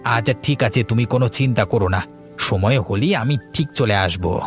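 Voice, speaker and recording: A man's voice: mid-range voice, normal speed, faint music behind, lightly acted. A man playing a concerned, protective village husband in a cartoon ghost story, earnest and dramatic. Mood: reassuring.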